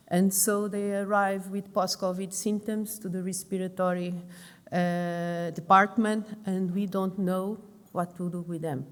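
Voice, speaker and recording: A middle-aged Portuguese woman, a lung and intensive care doctor, giving a conference talk in English with a Portuguese accent, halting and restarting her words often. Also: medium pitch. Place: large conference hall. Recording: long hall reverb.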